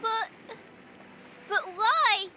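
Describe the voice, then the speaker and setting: A woman's voice: extremely high pitch, expressive, very slow, pushed and bright, slight background noise, full character voice, poor audio. A woman playing a naive, dreamy young girl in an audio drama, wide-eyed and plaintive. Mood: confused.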